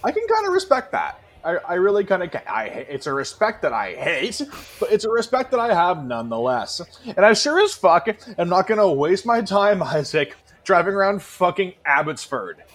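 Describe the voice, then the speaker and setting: A man's voice: high-pitched, wildly swooping pitch, normal speed, fairly bright tone. A man co-hosting a podcast in casual, profane banter, emphatic and repeating himself for effect. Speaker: podcast co-host.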